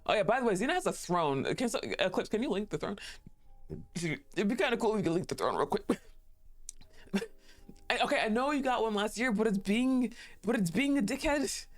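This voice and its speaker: A woman's voice: mid-range voice, expressive, a little fast, soft background music. A woman streaming a game live, giggling often, swearing freely and gushing thanks to her chat.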